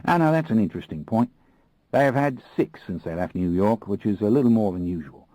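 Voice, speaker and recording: A man voicing a tall, alert, suspicious Australian fixer with an Australian accent and a brisk, businesslike manner. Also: lowish voice, expressive, dark tone, no echo, pitched above the performer's own voice, natural voice.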